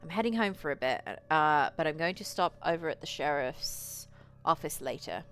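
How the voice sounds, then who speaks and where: A woman's voice: sits low, expressive, normal speed, a bit bright. A woman voicing a practical, self-assured woman with a matter-of-fact manner in a visual novel game. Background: music.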